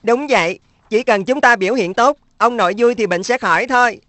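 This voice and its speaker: A woman's voice: on the high side, a little fast, a bit bright, dry recording, lightly acted. A woman voicing an earnest young cartoon boy, a reassuring older brother.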